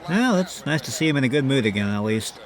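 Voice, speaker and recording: Male host, casual banter, mid-pitched and animated. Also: fast talker, crowd noise. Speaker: show host. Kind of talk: casual show wrap-up banter.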